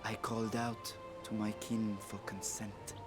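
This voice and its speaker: Guy doing an ancient dragon from a fantasy game, grave n stately, talks slow, loud music under it. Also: lowish voice, little pitch movement, natural voice. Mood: solemn, grave. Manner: stately, measured, eloquent. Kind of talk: formal archaic fantasy game dialogue.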